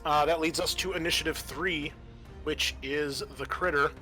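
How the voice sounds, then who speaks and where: Bright-voiced man running a tabletop game. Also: fairly high pitch, steady tone, soft background music. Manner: halting, graphic description with casual swearing. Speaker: game master. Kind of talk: narrating a tabletop horror game.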